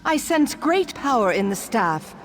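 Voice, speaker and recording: A woman's voice: on the high side, big pitch swings, measured pace, slight background noise. An actress playing a commanding female spirit who guides a hero in a fantasy game, speaking in a solemn, formal way. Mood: solemn urging.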